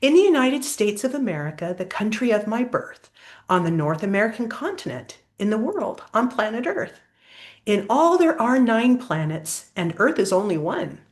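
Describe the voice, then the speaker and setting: A woman's voice: mid-range voice, sing-song, moderate pace, natural voice. A woman voicing a curious, homesick male armadillo in a storybook, earnest and full of wonder. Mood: proud, earnest.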